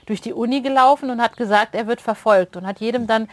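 A woman's voice: on the high side, fast, dry recording. A woman from Ostwestfalen co-hosting a podcast, casually telling anecdotes with repeated starts. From Ostwestfalen, Germany. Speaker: podcast co-host. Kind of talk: casual chat.

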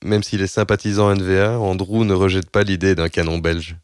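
Male podcast narrator, very deep and smooth, calm, dry close sound. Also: brisk, dry recording. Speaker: podcast host. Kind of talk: scripted narration.

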